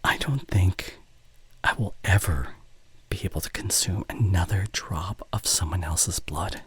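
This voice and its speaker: A man's voice: low voice, measured pace, a bit bright, slightly rough, no room sound, heavily acted. A man voicing an ancient, courtly, besotted vampire in a formal, hesitant, half-whispered ASMR roleplay monologue, close on the mic. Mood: yearning, obsessed. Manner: formal, old-fashioned, hesitant phrasing. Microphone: close studio condenser mic.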